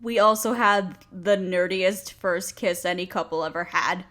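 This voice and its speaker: A woman's voice: lowish voice, unhurried, a bit bright. A woman playing a nervous, smitten, nerdy young woman in an intimate romantic audio roleplay, speaking hesitantly with stammers and sighs. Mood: happy, affectionate.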